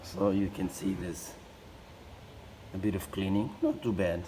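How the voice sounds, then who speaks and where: Croaky low-voiced man explaining a repair. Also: steady tone. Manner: halting, plain-spoken explanations with restarts. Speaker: boat owner showing a repair. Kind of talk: casual how-to demonstration. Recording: loud background noise.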